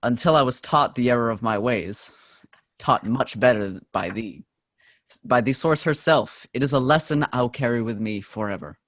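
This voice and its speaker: A man's voice: mid-range voice, normal speed, fairly bright tone, lightly acted. A man playing a remorseful, devoted soldier mourning his friend, reading earnestly in a play table read. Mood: grieving, remorseful.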